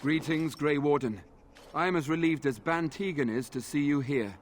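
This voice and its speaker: An actor playing an earnest, dutiful knight in a fantasy video game, speaking formally and courteously. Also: male voice, on the high side, normal speed, natural voice. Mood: courteous, respectful. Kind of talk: video game dialogue acting.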